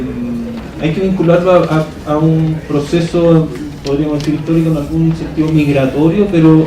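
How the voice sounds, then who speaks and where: A man's voice: a little high, dark tone. A man giving an academic conference presentation. He explains step by step and checks in with the audience through frequent tag questions. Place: conference hall. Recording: echoey hall, distant mic, noisy.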